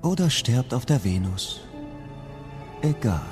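An actor playing a haughty, mocking royal in an audio drama, with a slow drawl. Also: male voice, medium pitch, very animated, music in the background. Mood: dismissive.

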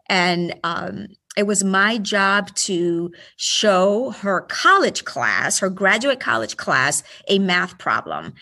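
Chatty woman from Puerto Rico. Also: lowish voice. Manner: chatty, warm storytelling with fillers. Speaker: school superintendent. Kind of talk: casual interview.

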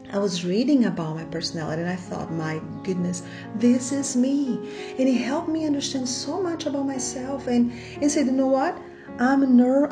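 Enthusiastic Brazilian woman hosting a podcast. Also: a little high. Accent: Brazilian Portuguese. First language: Portuguese. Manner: enthusiastic, chatty, laughs often. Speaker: podcast host. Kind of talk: casual faith podcast monologue.

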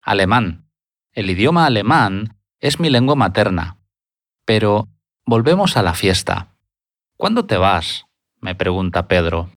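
Slow, low-voiced man in a language lesson. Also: sing-song, no room sound, studio quality. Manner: repeats answers in full sentences. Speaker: Spanish lesson speaker. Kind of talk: slow, deliberate lesson dialogue.

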